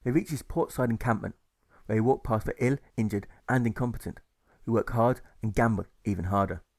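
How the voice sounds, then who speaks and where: A man's voice: fairly low pitch, a little fast, creaky, darkish tone, no echo, clean studio sound. A man reading a rhyming novel aloud as scripted narration, rhythmic and emphatic on the rhymes. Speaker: author reading his own audiobook.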